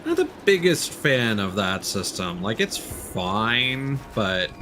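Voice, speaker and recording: A man's voice: on the low side, sing-song, slow, music in the background. A man who streams games, thinking aloud in casual, conversational live commentary while he plays. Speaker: game streamer.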